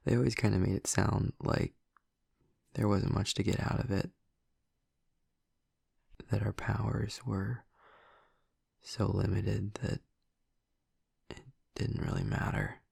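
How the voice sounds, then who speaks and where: Hushed, gravelly young male vampire played by a man. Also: on the low side, lively intonation, slow, long pauses, dry recording, clean studio sound, heavily acted. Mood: hesitant, reflective. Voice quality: breathy, husky, gravelly murmur. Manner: hesitant, rambling, casual. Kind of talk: intimate audio roleplay addressed to the listener.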